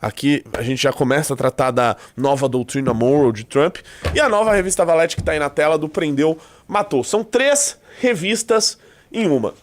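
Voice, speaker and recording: A man's voice: fairly high pitch, lively intonation, normal speed. A Brazilian man with a stuffy nose hosting a live show in loose, joking commentary, peppered with "tá?". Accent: Brazilian Portuguese. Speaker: livestream host.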